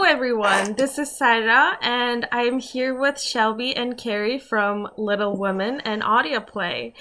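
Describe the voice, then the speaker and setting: A woman's voice: on the high side, fairly bright tone, a little hiss or hum. A woman hosting an arts radio show in a chatty, informal, self-deprecating way. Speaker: radio show host and interviewer. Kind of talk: casual arts radio presenting.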